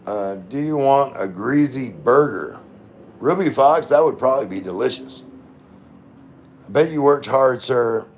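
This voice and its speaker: A middle-aged man hosting a casual livestream, reading out viewer comments and answering them in a rambling, informal way. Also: mid-range voice. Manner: rambling, informal, occasionally swearing. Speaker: livestream host.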